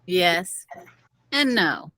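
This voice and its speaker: A woman's voice: fairly low pitch, expressive, very slow, pushed and bright, exaggerated voice. A woman voicing a goofy, grinning teenage schoolboy who talks in teasing riddles, in a storybook. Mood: sly, teasing. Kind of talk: storybook character dialogue.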